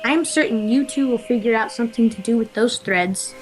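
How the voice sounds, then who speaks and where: A woman's voice: fairly high pitch, a little fast, natural voice, poor audio. A woman playing a dignified, enthusiastic emperor in an audio play, with earnest, declamatory delivery. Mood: proud, enthusiastic. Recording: music behind the voice.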